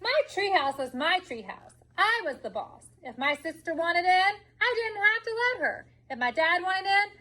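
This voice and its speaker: High-pitched woman reading a children's story. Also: big pitch swings, moderate pace, hardly any pauses, bright, forceful tone. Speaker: storybook reader. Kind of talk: children's picture book read-aloud.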